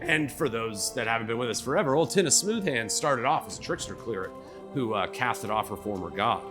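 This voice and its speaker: A man's voice: medium pitch, animated, a little fast, over music. A man improvising narration as the game master of a live tabletop game, casual, slangy and jokey.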